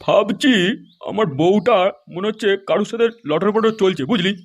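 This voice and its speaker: A man's voice: high-pitched, moderate pace. A man voicing a jealous, foul-mouthed husband who bosses his buddies around, brash and threatening, in a crude comedy cartoon. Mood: suspicious, angry.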